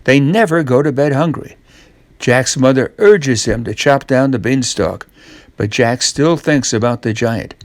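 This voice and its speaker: A man narrating a scripted children's story, reading aloud in a measured, expressive way. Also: mid-range voice, no echo.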